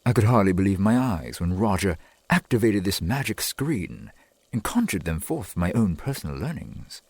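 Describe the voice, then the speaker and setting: A man playing a centuries-old vampire boyfriend in an ASMR roleplay, doting and earnest, with halting, old-fashioned formal speech. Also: on the low side, sing-song, darkish tone, slightly rough, no echo, full character voice. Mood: eager, earnest. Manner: formal archaic wording, halting, eager to please.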